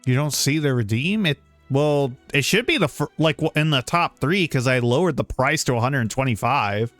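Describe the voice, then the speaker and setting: A man chatting casually as he streams a game, rambling and halting as he talks. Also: mid-range voice, expressive, dry recording. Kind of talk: casual livestream chat while playing a game. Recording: dry close mic, game music behind. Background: soft game music.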